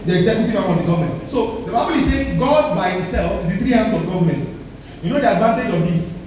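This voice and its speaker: A man's voice: high-pitched, expressive, quick pace, darkish tone, heavy reverb, recorded from a distance, slight background noise, poor audio. A male pastor preaching a sermon with emphatic delivery, calling the congregation to respond.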